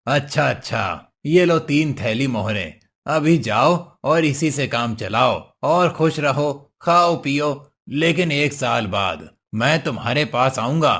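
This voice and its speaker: Man acting as hoofed devil, medium pitch, sly, coaxing, falsely friendly, cartoon storytelling. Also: expressive, takes it slowly, hardly any pauses, fairly bright tone, exaggerated voice. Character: sly hoofed male devil posing as generous employer.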